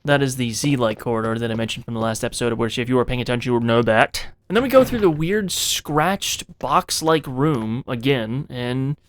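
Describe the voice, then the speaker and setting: A man's voice: medium pitch, normal speed, hardly any pauses. A man narrating a video game playthrough in a dramatized, scripted way, with casual, profane, jokey asides to the viewer. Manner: theatrical, self-interrupting asides to the viewer.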